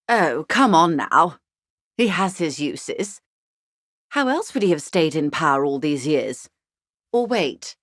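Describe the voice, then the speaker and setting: A rich older lady negotiator for a video game, lowish voice, wry n polished, really dry studio sound. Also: no room sound, exaggerated voice. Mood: knowing, insinuating. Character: shrewd, urbane, wealthy older woman, a corporate negotiator. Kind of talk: video game character dialogue.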